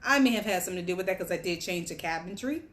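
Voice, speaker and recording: A woman's voice: on the low side, lively intonation, very fast. A young woman from Guyana, pregnant and on bed rest, telling a candid personal story in a casual chatty way while sitting at a table at home. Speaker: vlogger. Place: home, at a table among boxes.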